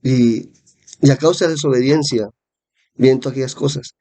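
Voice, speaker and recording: A man's voice: medium pitch, moderate pace, warm tone. A man giving a Bible-teaching sermon, congested with a cold and repeating phrases for emphasis. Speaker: preacher.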